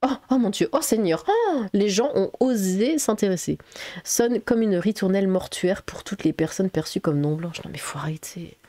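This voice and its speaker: Mellow, sarcastic French woman commentator. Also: medium pitch, no echo. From Alsace, France. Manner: sarcastic, exasperated asides. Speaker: online commentator. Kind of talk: reading an article aloud with casual reaction commentary.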